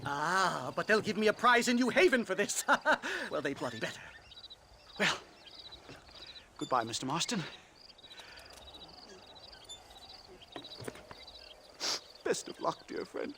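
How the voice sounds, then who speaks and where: A man playing a nervous, polite gentleman academic in a western game cutscene, flustered and a little pompous. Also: high-pitched, very animated, moderate pace. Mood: relieved, pompous. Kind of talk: video game cutscene acting. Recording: clear background noise with clicks.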